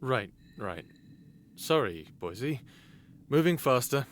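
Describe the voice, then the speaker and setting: A man's voice: mid-range voice, big pitch swings, takes it slowly. An actor playing a bewildered, apologetic, hesitant man in a sci-fi audio drama. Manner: hesitant, puzzled.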